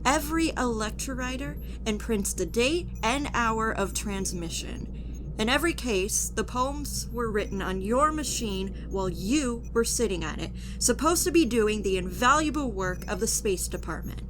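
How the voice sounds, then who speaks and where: Woman acting a cold, high-voiced futuristic judge. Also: expressive, moderate pace, music in the background, close to an everyday voice. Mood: scornful. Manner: clipped, officious, coldly formal. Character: stern, contemptuous female military judge of a futuristic technocracy. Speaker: actor. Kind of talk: audio drama acting, formal courtroom interrogation.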